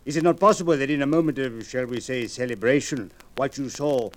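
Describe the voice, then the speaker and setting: A stern Egyptian police official played by a radio actor with a medium-pitched voice. He is sceptical yet courteous, questioning in formal, deliberate phrases in the manner of 1940s radio drama. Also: lightly acted. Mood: sceptical, dry.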